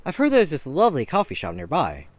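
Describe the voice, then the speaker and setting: A man's voice: on the high side, huge pitch swings, fast, no room sound. Man acting a flirty catboy hairdresser, swooping intonation. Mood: nervous, flirty. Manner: gentle, bashful, earnest, chuckling at himself. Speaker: voice actor. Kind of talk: flirty ASMR-style roleplay audio drama. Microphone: close studio mic.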